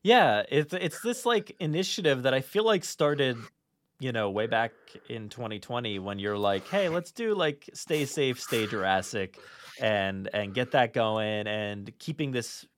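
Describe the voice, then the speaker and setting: A man's voice: mid-range voice, animated, unhurried, no echo. A man hosting a casual podcast chat, talking in an informal, rambling way. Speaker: podcast host.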